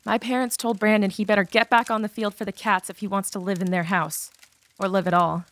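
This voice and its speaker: A woman's voice: mid-range voice, fairly even intonation, fairly quick, fairly bright tone, lightly acted. Audiobook drama role: abused teen girl, mid-range voice, painful confession; woman performer. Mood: pained recounting.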